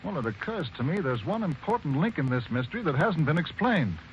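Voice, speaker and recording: An excitable young son. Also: male voice, on the high side, a little fast. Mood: eager. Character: eager young man, son of the victim. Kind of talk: 1940s radio acting. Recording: muffled 1940s radio broadcast, faint hiss.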